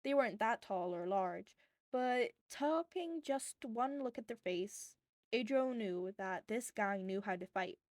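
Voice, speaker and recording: A woman's voice: medium pitch, animated, a little slow, a little mellow, no echo, studio quality. A woman reading fan fiction aloud as scripted, audiobook-style narration.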